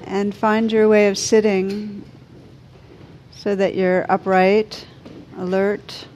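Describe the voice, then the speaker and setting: Woman leading a guided meditation, mid-range voice, slow n soothing, lots of soft pauses. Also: mid-range voice, little pitch movement, muffled recording, a little hiss or hum. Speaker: meditation teacher.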